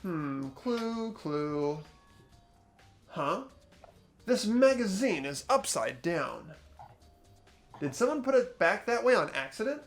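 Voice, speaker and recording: A performer voicing an earnest, uneasy teenage schoolboy who narrates an investigation in a visual novel game, hesitant and expressive. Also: mid-range voice, takes it slowly, long pauses, faint music behind. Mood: pondering, startled.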